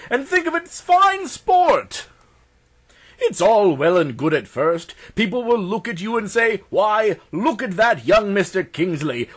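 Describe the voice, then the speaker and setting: Pompous gentleman adventurer, theatrically swooping. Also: high-pitched, husky, voice pushed up, exaggerated voice. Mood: indignant reminiscing. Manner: pompous, theatrical, elaborately formal. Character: pompous, stuffy Edwardian English gentleman adventurer, easily affronted. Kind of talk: pulp adventure audiobook narration in character.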